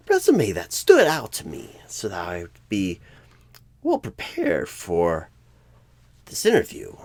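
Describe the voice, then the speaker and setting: A man playing a clueless, bumbling job recruiter in a comedic ASMR role-play, rambling with odd pauses and repeated words, flustered but eager. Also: low-pitched, creaky, breathy edge, full character voice.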